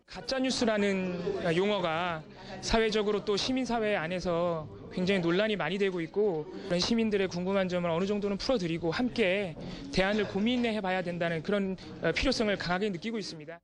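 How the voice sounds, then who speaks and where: High-pitched man speaking formally. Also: a little fast, a crowd in the background. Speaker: forum participant. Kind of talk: formal spoken remarks.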